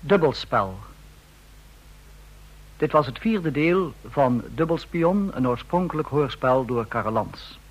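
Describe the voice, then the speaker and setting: A man announcing radio drama credits in a formal, deliberate scripted style. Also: mid-range voice, animated, breathy edge, poor audio.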